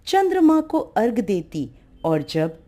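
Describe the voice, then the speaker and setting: Female folk-tale narrator, soft-toned and unhurried, for devotional storytelling. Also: fairly high pitch, sing-song.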